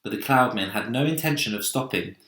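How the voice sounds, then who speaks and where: A man's voice: medium pitch, animated, brisk, fairly bright tone. A man narrating a children's storybook expressively. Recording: roomy, echoing sound.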